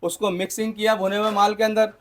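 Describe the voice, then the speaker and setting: A man's voice: high-pitched, level tone, fast. A man, a shopkeeper plainly explaining how he roasts nuts and stressing honest trade. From Pakistan.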